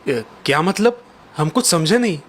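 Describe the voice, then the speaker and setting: An actor playing a concerned young husband and dutiful son in a children's cartoon story. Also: male voice, on the high side, lively intonation, moderate pace, a bit bright. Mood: puzzled.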